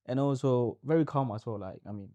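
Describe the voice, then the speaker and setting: Mellow Ghanaian man chatting casually. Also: mid-range voice, brisk, dry recording, lo-fi sound. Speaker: podcast co-host. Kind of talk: casual conversation.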